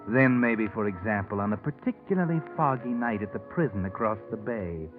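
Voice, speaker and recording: A man's voice: on the low side, expressive, brisk, lightly acted. An actor playing a sardonic, shadowy male storyteller, narrating a 1940s radio mystery in a wry, insinuating way. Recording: tinny old radio recording, loud music.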